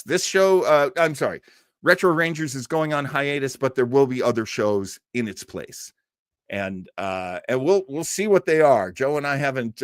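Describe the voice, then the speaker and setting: A man hosts a casual live show in a dry, close-sounding space. His mid-pitched voice moves up and down animatedly as he rambles along to his viewers. He is halting and self-deprecating, and laughs easily. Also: dry recording. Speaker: host of a live old-time radio show stream.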